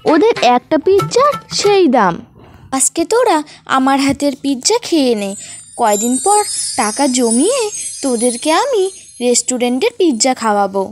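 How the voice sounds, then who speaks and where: A caring cartoon mother bird, acted by a woman, patient and gently reasoning. She speaks in a high voice over prominent music. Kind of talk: animated cartoon voice acting.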